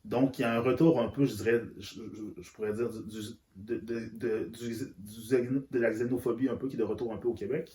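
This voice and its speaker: A man's voice: mid-range voice, steady tone, brisk, few pauses, dark tone. A man, a guest talking candidly and earnestly, often stumbling and repeating words. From Central Africa. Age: 30s. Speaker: interview guest. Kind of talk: candid conversation. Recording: roomy echo.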